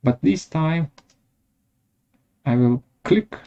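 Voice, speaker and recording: A man presenting a step-by-step software tutorial in short, measured, halting phrases. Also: fairly high pitch, lively intonation. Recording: dull lo-fi audio.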